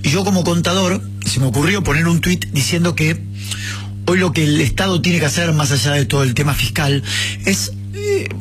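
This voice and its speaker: A man's voice: on the high side, sing-song, moderate pace, faint music behind. A man with an Argentine Rioplatense accent hosting a radio interview, chatty and telling personal anecdotes. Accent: Rioplatense Spanish. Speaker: radio host.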